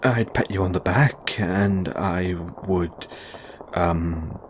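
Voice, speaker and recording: Man acting a middle-aged dad, lowish voice, nervous, hesitant, stammering, kindly, audio roleplay, faint noise behind. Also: takes it slowly. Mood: nervous, concerned.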